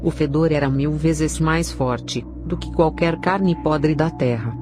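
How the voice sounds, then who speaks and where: A woman's voice: sits low, unhurried. A woman with a Brazilian accent narrating a scripted, dramatic religious testimony. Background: prominent music.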